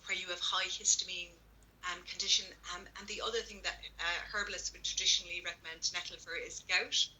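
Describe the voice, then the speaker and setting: A woman's voice: lowish voice, fairly even intonation, fast, pushed and bright, a little hiss or hum, poor audio. A woman chatting casually and enthusiastically about herbs, with plenty of fillers and restarts. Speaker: herbal products guest. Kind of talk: casual live chat.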